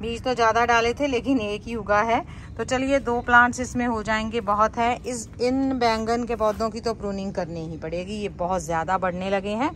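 Higher-voiced woman, noisy recording. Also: steady tone, moderate pace, few pauses, fairly bright tone. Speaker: gardening vlogger. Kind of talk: casual garden tour narration.

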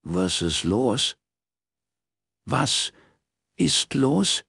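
Narrator playing a drunk, husky, bewildered man. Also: medium pitch, big pitch swings, extremely slow, a bit bright, no echo, clean studio sound, pitched above the performer's own voice, full character voice. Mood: drunk bewilderment. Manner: slurred, mumbling, stammering. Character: drunk, bewildered gruff male detective, defensive.

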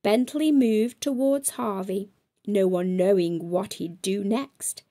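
A woman's voice: mid-range voice, slow, dark tone. A middle-aged woman hosting a children's storytime, reading a picture book aloud expressively to young listeners.

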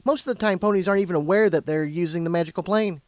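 A man's voice: high-pitched, rapid-fire, a little mellow, no room sound, voice pushed up, natural voice. A man voicing a confident, kindly female unicorn pony who explains things like a patient mentor. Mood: explaining. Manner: assured, explanatory.

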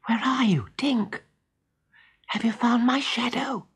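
Casting: adult narrator as impulsive proud boy for storybook, high and emphatic, very animated. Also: normal speed, slightly rough. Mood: eager. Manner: boastful, emphatic. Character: young boy adventurer, cocky, proud, impulsive.

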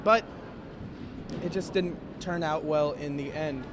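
A man's voice: on the high side, fairly even intonation, slow pace, noisy background. A young man giving an earnest, detailed postgame basketball analysis courtside, speaking into a handheld mic. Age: young adult. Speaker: student sports analyst. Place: courtside in a large indoor arena.

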